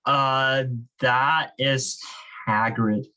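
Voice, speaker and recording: A man's voice: medium pitch, steady tone, extremely slow, pushed and bright, clean tone. Informal male online reading club leader. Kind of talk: casual online teaching. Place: online group video call.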